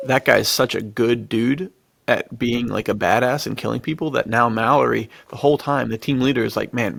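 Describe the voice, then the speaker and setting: A man's voice: mid-range voice, vocal fry, a bit bright, no echo. A man co-hosting a podcast, chatting casually and enthusiastically about stories in a slangy way. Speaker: podcast co-host. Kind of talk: casual film and book discussion.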